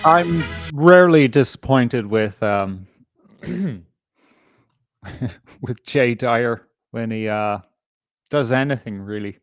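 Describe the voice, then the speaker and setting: A man's voice: medium pitch, slow pace, long pauses, no echo, studio quality, soft background music. A man hosting a casual livestream, talking in a rambling, sweary way. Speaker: show host.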